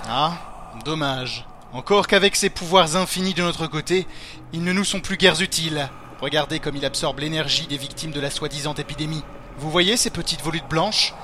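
An actor playing a fanatical, smug cult leader in a French fantasy audio drama, with a pompous, ceremonial and mockingly courteous manner. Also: male voice, fairly high pitch, moderate pace, sharp tone, slight background noise. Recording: music under the voice.

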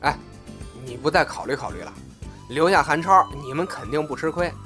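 A man playing a cheeky young amateur comic performer in an audio drama, with a quick, needling way of talking back. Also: on the high side, lively intonation, sharp tone, soft background music. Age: young adult. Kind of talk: audio drama acting with crosstalk patter.

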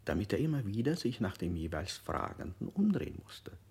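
A gravelly probing interrogator. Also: male voice, lowish voice, sing-song, fairly quick, a little mellow. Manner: insistent, probing questions. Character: male interrogator, coaxing yet probing. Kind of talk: modernist radio play acting.

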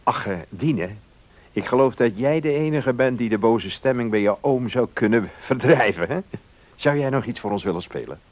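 Man acting genial well-off gentleman, lively varied pitch, curious, measured, polite, radio play. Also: close to an everyday voice. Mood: placating.

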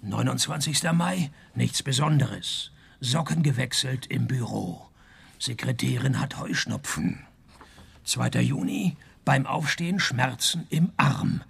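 An actor playing a world-weary, wisecracking private detective in a radio play, dry and deadpan, with a husky, gravelly voice. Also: male voice, mid-range voice, a little slow, full character voice. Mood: deadpan reading. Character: sardonic, world-weary male private detective, middle-aged, broke. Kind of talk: first-person radio play narration and dialogue.

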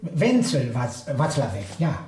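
A man playing a flustered, brooding character in a German radio play, halting and awkwardly polite in his speech. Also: medium pitch, huge pitch swings, a little mellow, roomy sound, a little hiss or hum.

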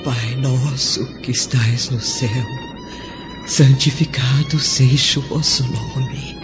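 A woman playing an old, devoted slave woman in a radio drama, speaking with slow reverence. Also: a bass voice, wildly swooping pitch, heavily acted. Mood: prayerful. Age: older. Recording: dull lo-fi audio under loud music.

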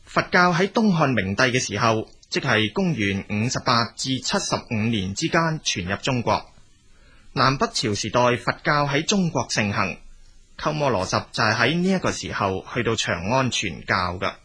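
A man with a Cantonese accent reading scripted documentary narration in a measured, explanatory storytelling manner. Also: mid-range voice, sing-song, bright, forceful tone, slightly rough. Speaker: narrator of a history and culture programme.

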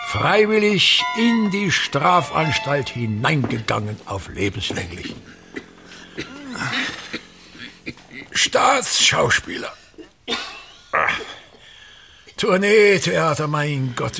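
Pompous self-pitying old-school theater actor, radio play, grumbly and declaiming, pitch swinging all over, drawn out. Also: male voice, gravelly, bright, forceful tone, full character voice. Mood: wistful, bitter.

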